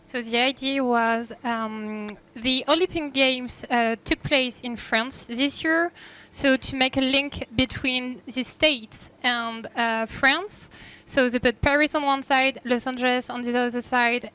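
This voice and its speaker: A woman's voice: on the high side, fairly even intonation, slow, sharp tone, clear, resonant tone. A woman interpreting live, relaying what someone else has said. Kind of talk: live spoken translation.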